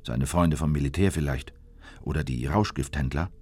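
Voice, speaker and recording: An actor playing a sober government insider who narrates tense political events in a measured, deliberate way for a radio drama. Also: male voice, a bass voice, darkish tone, breathy edge, no echo.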